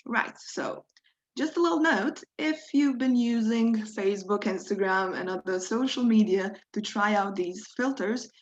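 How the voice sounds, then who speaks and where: A young woman walks viewers through a live online workshop, friendly and encouraging, slipping now and then on small grammar points. Her voice sits at a middling pitch for a woman. The stream audio is a little dull and lo-fi. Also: a little slow. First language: Croatian. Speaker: workshop leader.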